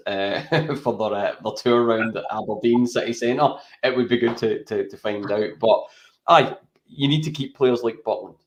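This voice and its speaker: Chatty Scottish man, lowish voice. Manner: chatty, rambling, tags lines with "right?". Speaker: podcast co-host. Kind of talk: casual football chat.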